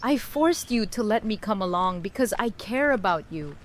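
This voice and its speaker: A woman's voice: medium pitch, expressive, normal speed, a little hiss or hum, close to an everyday voice. A woman playing a tough, blunt, loyal fighter. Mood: blunt, exasperated. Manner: blunt, casual, salty. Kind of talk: fan audio drama acting.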